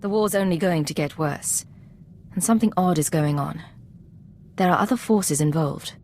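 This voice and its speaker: An actress playing a hardened, wary Imperial agent in a video game, controlled, direct and clipped. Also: female voice, lowish voice, normal speed, darkish tone, slight background noise, lightly acted. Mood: cool, serious. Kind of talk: dramatic game dialogue.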